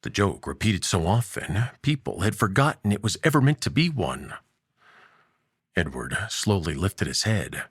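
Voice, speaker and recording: A man narrating a scripted story in a dramatic, measured way with a gravelly, husky voice. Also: sits low, big pitch swings, fairly bright tone, no echo, studio quality.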